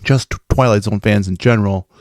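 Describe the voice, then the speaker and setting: An American man, a podcaster giving fan feedback in a casual recorded message, talking in an excitable, gushing way. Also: on the low side, a little fast, dry recording, studio quality. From Minnesota, USA.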